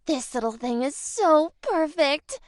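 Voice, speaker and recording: Very high, slow, crazed girlish game heroine. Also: lively intonation, background noise. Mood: gleefully menacing. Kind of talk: video game character voice line.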